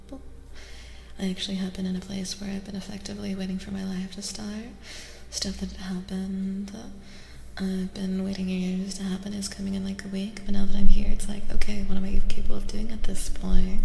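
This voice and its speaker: A woman livestream host doing soft-spoken ASMR, chatting casually and soothingly with viewers, often half whispering and repeating short phrases. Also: medium pitch, gravelly, roomy sound. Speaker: livestream host reading tarot for chat. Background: music.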